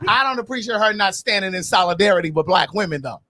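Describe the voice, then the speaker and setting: A man's voice: high voice, lively intonation, quick pace, sharp tone. A man co-hosting a casual chat, chatty, reading out viewer comments and laughing along. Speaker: podcast co-host.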